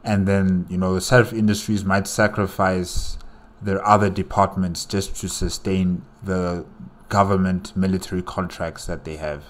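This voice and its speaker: A man giving casual, unscripted reaction commentary, halting and repeating phrases as he thinks aloud. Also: low-pitched, level tone. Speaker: reaction video host.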